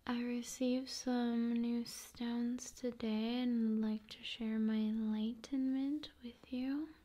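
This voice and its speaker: A woman speaking softly and often in a whisper, in an intimate, soothing ASMR style addressed to the viewer. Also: on the high side, flat delivery, slow pace. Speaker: ASMR video host.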